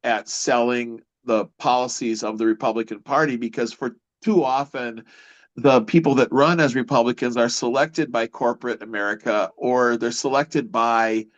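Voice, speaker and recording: A man interviewing a candidate in casual political conversation, rambling with halting restarts. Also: mid-range voice, steady tone, muffled recording.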